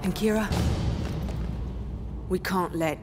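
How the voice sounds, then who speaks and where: A woman's voice: on the low side, slow pace. A woman voicing a hard, terse gang leader in a grim video game cutscene. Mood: terse, commanding. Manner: terse, commanding. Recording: music bed under the voice.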